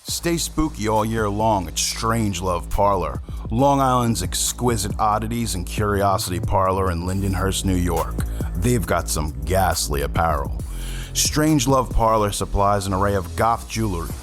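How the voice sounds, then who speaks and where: A man's voice: on the low side, measured pace, music in the background. A man reading scripted promotional spots as a podcast host.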